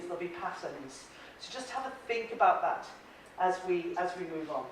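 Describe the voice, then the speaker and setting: A woman's voice: mid-range voice, a little hiss or hum. Irish woman trainer in an echoey hall. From Ireland. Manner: chatty and encouraging, laughs often, asks the room questions. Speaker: presentation skills trainer. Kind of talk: workshop talk to a live group. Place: large echoey training room. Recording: very echoey room audio, from a distance.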